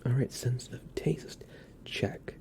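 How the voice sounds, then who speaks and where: A man whispering softly and soothingly as a reassuring doctor in an ASMR exam roleplay. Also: slow pace. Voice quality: whispered, breathy soft voice. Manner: gentle, soothing, reassuring instructions. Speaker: ASMR performer playing a doctor.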